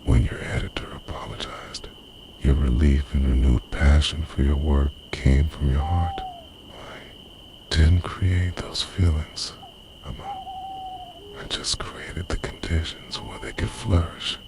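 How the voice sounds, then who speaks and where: A man playing a devoted, obsessive time-travelling boyfriend in an intimate ASMR roleplay, speaking in a breathy, often whispered, gravelly voice with hushed, earnest intensity. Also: very deep voice, wildly swooping pitch, full character voice. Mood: adoring, hushed. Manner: slow, earnest, hushed delivery. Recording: noisy night ambience with crickets, owls.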